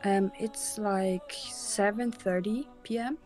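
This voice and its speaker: A woman's voice: mid-range voice, fairly even intonation, slow, darkish tone. An eighteen-year-old woman, chatting in an easygoing, friendly way with a stranger on a video call. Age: teens. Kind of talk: casual video chat. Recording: faint music underneath.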